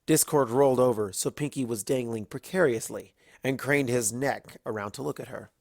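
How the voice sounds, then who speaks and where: Expressive story narrator, close and dry. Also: medium pitch, gravelly, no room sound. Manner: animated storytelling, dramatic pauses. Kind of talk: expressive scripted fan-fiction narration.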